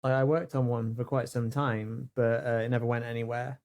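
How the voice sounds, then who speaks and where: A man chatting casually on a podcast, halting and repeating words as he explains things. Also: mid-range voice, little pitch movement, a little mellow. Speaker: podcast co-host. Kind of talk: casual chat.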